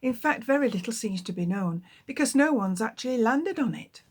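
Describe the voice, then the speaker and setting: A woman's voice: on the high side, lively intonation, fairly quick, breathy edge. A woman voicing a friendly shape-shifting alien boy in a storybook reading, cheerful and matter-of-fact.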